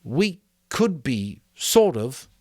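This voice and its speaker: A man doing a shy, halting young boy. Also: mid-range voice, very animated, warm tone, dry recording, studio quality, pitched above the performer's own voice, exaggerated voice. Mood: hesitant. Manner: slow, halting, unsure. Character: shy awkward boy struggling for words.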